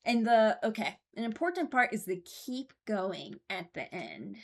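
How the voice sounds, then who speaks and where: A woman's voice: medium pitch, big pitch swings, a little slow, gravelly. A woman giving casual commentary, thinking aloud in a hesitant, deliberating way and often correcting herself. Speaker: host ranking game voice lines.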